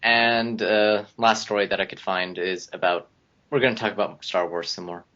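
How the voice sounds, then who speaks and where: A man presenting news on a casual group podcast, a little haltingly. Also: on the low side, fairly even intonation, pushed and bright. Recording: dull lo-fi online audio.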